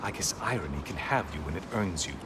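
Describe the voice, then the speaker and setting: A man's voice: sits low, lively intonation, quick pace, very noisy. An actor playing a spiteful, sneering male sun god in a video game, taunting and theatrical. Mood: mocking. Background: game music.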